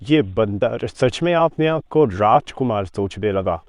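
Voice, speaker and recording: A man's voice: on the low side, lively intonation, a little slow, no echo. A man playing a jealous elder prince in a cartoon story. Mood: scornful.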